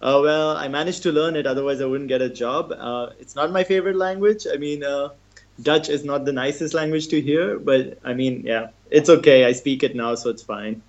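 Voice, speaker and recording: A young man from India talks easily as an interview guest, with plenty of "um" and "like" and frequent laughs. His middle-pitched voice comes through a dull, lo-fi recording. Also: moderate pace. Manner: relaxed, chatty, laughs often, fillers like "um" and "like". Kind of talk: casual interview.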